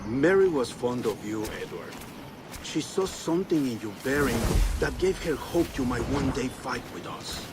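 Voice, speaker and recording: With loud music behind him, an actor plays a grave male mentor from a game, head of a band of assassins. His mid-pitched delivery is formal, measured and wise. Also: animated, natural voice. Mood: solemn, probing. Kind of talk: video game cutscene acting.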